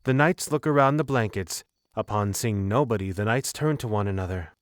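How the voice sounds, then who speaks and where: A man's voice: on the low side, moderate pace, dry recording. Dry-recorded male story narrator.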